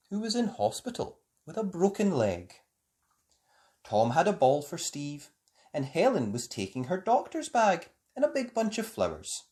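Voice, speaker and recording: A storyteller reading a children's picture book aloud in an expressive, deliberate way for young listeners. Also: mid-range voice.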